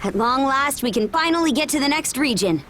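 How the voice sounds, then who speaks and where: A woman's voice: high voice, lively intonation, fairly quick, a bit bright, slight background noise. Firm young woman captain. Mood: eager, commanding. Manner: frank, commanding. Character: firm, decisive young female ship captain. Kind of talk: video game cutscene voice acting.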